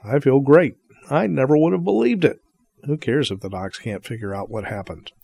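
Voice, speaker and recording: A man's voice: medium pitch, animated, normal speed, gravelly, dark tone, husky, no echo. A man playing a grateful, kind, easygoing uncle in an audio drama. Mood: grateful, cheerful.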